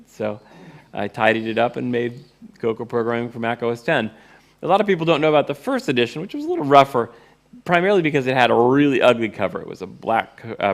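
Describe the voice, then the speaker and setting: A middle-aged man telling a personal story informally to an audience, with a wry way of speaking. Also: mid-range voice. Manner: wry, acts out remembered dialogue. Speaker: presenter, course teacher and author.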